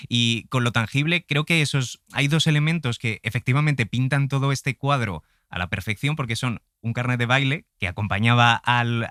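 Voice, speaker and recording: A man with an accent from Spain, hosting a conversational interview with halting, roundabout phrasing. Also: medium pitch, fairly bright tone, no room sound, clean studio sound. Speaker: interviewer, podcast host.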